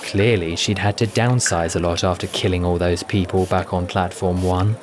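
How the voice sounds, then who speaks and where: A man's voice: low voice, fairly even intonation, normal speed, music in the background. A man giving scripted, dramatic narration of a science fiction audio adventure.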